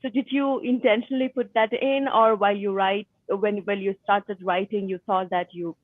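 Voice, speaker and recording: A woman at a middle pitch, asking a question haltingly and searching for her words. Her voice sounds thin and phone-like, dry with no room around it. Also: fairly even intonation, no echo. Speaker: book discussion guest asking the author questions. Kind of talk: casual discussion question.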